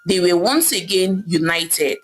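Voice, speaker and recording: A woman narrating a scripted folktale in an expressive, dramatic storytelling manner, with emphatic pauses. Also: lowish voice, faint music behind.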